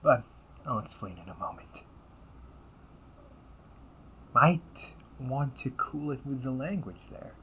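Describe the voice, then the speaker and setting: A man acts out a cunning villain big brother in an audio roleplay, mid-pitched with a bright, sharp edge. His delivery is highly animated, taunting and theatrical, slipping into breathy hushed tones. Faint noise sits behind the voice. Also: a little slow. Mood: amused, condescending. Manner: smug, taunting, theatrical, often drops to breathy near-whispers. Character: calm, cunning, condescending villain, the listener's older brother. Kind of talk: audio roleplay monologue addressed to the listener.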